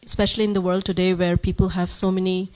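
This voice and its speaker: A woman's voice: lowish voice, little pitch movement, fast talker, a little mellow, rough recording. A woman taking part in a devotional class, thoughtful and searching for words. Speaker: class participant.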